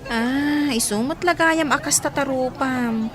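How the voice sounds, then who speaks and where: A woman's voice: high voice, measured pace. A woman playing a teasing, nosy young confidante in an Ilocano radio drama, with a crowd behind her. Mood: knowing, prying.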